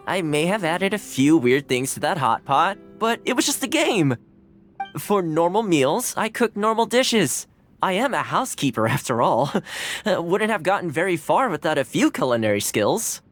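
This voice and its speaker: A man's voice: sits high, a bit bright. A man playing a friendly, easygoing young male housekeeper in a video game, casual, courteous and modest in manner. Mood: cheerful, reassuring. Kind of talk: English video game voice acting.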